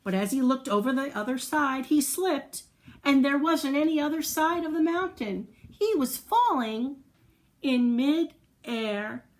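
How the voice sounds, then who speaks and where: A woman's voice: high-pitched, expressive, a little slow, a little mellow. A woman reading a picture book aloud to young children, expressively, with playful asides, questions and little sound effects. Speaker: children's storyteller.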